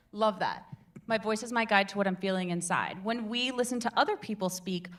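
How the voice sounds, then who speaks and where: A young woman leading a casual public speaking workshop, chatty and animated, breaking off mid-sentence and asking the group questions. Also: medium pitch. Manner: chatty, animated, self-interrupting, asks the room questions. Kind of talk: informal workshop teaching. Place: meeting room with a live group.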